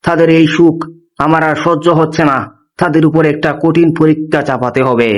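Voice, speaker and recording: A man's voice: fairly high pitch, steady tone, moderate pace, natural voice. A man playing a haughty, commanding king in a moral story cartoon. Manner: commanding, pompous. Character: greedy, haughty king, later repentant.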